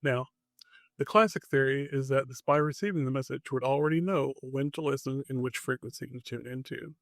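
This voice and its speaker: A man's voice: medium pitch, fairly quick, dry recording. Man narrating suspensefully, very dry close sound. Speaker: podcast host. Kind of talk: scripted podcast narration.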